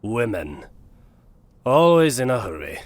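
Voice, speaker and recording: A man playing a nervous, lonely office worker who speaks in a hesitant, awkward way, with a husky voice. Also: mid-range voice, very animated, unhurried, slight background noise.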